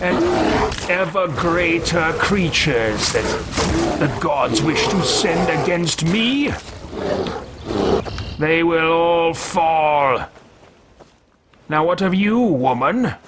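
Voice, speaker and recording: A man in his thirties playing a haughty, greedy mage who mutters to himself in a pompous, drawn-out way, recorded at home. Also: a little high, lively intonation, heavy background noise. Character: haughty male mage-thief, self-talking, greedy. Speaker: let's play host. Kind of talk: in-character game roleplay. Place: home room with bookshelves.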